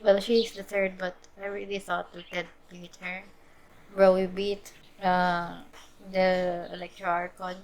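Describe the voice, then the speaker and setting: A woman's voice: on the low side, level tone, a little slow, a little mellow. A woman game streamer giving casual live reaction commentary.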